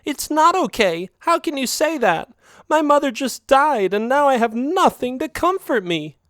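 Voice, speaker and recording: A man putting on a demanding young female alley cat from a storybook, pitched far up and whiny, her voice swinging wildly as she wheedles and sobs. The sound is close and dry. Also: sing-song, moderate pace, dry recording. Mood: shrill, upset. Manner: whiny and manipulative, breaking into sobs.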